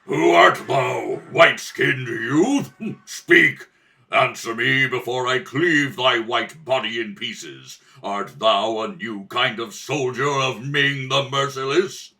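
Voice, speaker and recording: A man's voice: mid-range voice, takes it slowly, gravelly, bright, forceful tone, pitched below the performer's own voice, heavily acted. A man voicing a fierce bearded lion-man warrior prince, threatening and archaic, in radio serial style. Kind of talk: old-time radio serial acting. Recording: distant home rehearsal recording.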